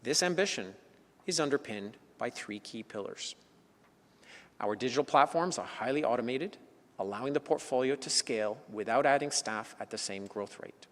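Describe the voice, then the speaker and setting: A man presenting as an insurance executive, reading measured points and stressing each new item. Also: mid-range voice, lively intonation. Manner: measured, enumerating points, rising emphasis on openers. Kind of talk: scripted corporate presentation. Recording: long hall reverb.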